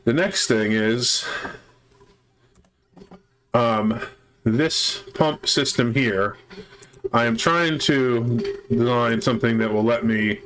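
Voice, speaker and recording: A man's voice: medium pitch, takes it slowly, long pauses, a bit bright. A man with a chest cold, hosting a let's play and methodically explaining his base repairs step by step. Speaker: gaming channel host.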